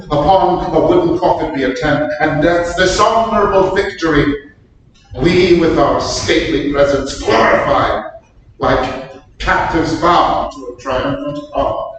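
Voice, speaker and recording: Man as a mournful noble, high and slow. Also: lively intonation, a bit bright, faint music behind. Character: mournful, anxious English nobleman. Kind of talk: staged reading of Shakespearean verse, declamatory. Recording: very echoey room from far off, faint noise.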